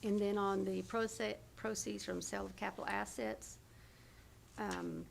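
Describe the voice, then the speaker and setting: A woman with a hoarse, heavily creaky voice walks a county committee through budget lines, pausing on fillers and correcting herself as she goes. A faint hiss sits under her voice. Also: mid-range voice, fairly even intonation. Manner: explains figures with fillers and self-corrections. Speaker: county health department official presenting budget. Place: county government meeting room.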